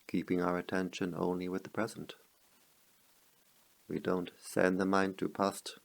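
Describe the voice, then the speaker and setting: A man guiding a meditation as a teacher, speaking calmly in measured short phrases with long pauses. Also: low-pitched, creaky. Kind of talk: guided meditation.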